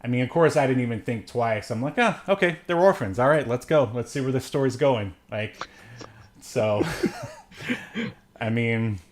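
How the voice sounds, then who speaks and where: A man's voice: medium pitch, animated, measured pace, slight background noise. A man co-hosting a podcast, chatting casually about films in a rambling, joking way. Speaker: podcast co-host. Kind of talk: casual movie review chat.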